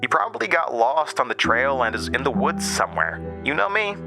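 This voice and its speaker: A man's voice: medium pitch, sing-song, brisk, gravelly, loud music behind the voice, voice pushed up. An actor voicing a good-natured, cocky and determined teenage boy at camp in a horror audio drama. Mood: confident, determined.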